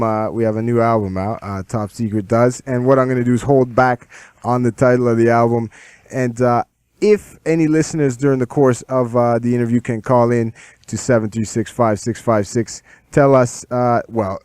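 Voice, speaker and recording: Halting male radio host, dry studio. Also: medium pitch, darkish tone, clean tone, dry recording. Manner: halting, lots of uh fillers. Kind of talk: radio interview.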